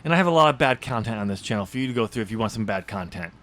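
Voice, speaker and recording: A man hosting a podcast in casual chat, self-mocking and halting as he talks. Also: lowish voice, lively intonation, a bit bright. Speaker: podcast host, author.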